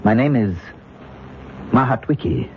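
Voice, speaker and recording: Halting, bewildered scout, muffled radio. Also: male voice, medium pitch, very animated. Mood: solemn, halting. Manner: halting, solemn, searching for words. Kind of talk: old-time radio drama acting. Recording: muffled, hissy old radio recording.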